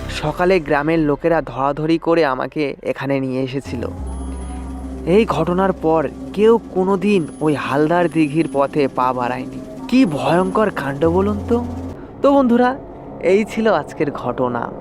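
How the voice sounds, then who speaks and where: A man's voice: a little high, lively intonation, a little slow. A man telling a scripted first-person horror story as a channel narrator, with music behind him. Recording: music under the voice.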